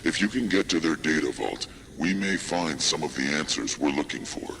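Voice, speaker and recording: An actor playing a measured, secretive video game informant, formal and deliberate with a gravelly voice. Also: male voice, lively intonation, sharp tone, slight background noise, exaggerated voice. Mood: measured, cautious. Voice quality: very deep, gravelly. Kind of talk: cinematic video game acting.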